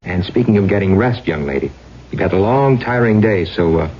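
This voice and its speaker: Breezy, lively boyfriend in radio drama. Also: male voice, sits low, a little fast. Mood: teasing affection. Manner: casual, teasing, affectionate. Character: breezy, devoted young New York boyfriend. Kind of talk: old-time radio drama acting. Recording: dull, noisy old radio recording.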